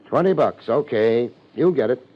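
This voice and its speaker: An actor playing a blunt, wry private detective in 1950s radio drama style, with clipped lines. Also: male voice, mid-range voice, expressive, moderate pace, lightly acted. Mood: wry, impatient. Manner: clipped, hard-boiled, sardonic. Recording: muffled 1950s radio broadcast with music.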